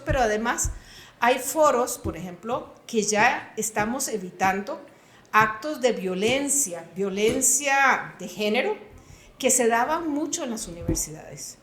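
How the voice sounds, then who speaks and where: A woman's voice: a little high, a bit bright, roomy sound. Earnest Costa Rican woman in her sixties. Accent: Costa Rican Spanish. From Costa Rica. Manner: earnest, emphatic storytelling. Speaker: guest, retired computing professor. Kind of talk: interview answers.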